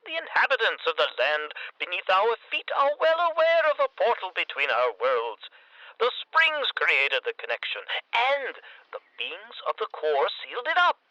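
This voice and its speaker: An actor playing a pompous, excitable conspiracy theorist calling in to a comedy radio drama, with theatrical, grandiose indignation. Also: male voice, high voice, normal speed, dry recording, highs cut off, exaggerated voice. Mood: scornful, dramatic. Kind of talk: comedic audio drama acting.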